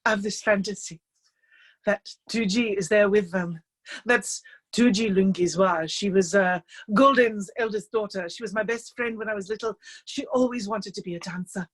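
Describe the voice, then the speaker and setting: An actress performing a monologue as a chatty, dryly amused life-drawing model who confides in the listener with hesitant, conversational asides. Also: female voice, medium pitch, moderate pace, close to an everyday voice. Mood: wistful. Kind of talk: theatrical monologue in a staged reading. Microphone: video call.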